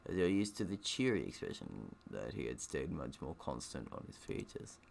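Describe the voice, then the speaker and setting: This is a man with a very low, gravelly voice playing a visual novel for viewers. He reads the text aloud with lively swings in pitch, then breaks off into sarcastic, grumbling commentary on it. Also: normal speed. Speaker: streamer playing and reading a game aloud.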